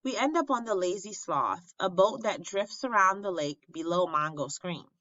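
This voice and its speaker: A woman reading a children's picture book aloud like a teacher, with expressive, animated and instructive storytelling. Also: medium pitch, moderate pace. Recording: roomy, slightly muffled sound.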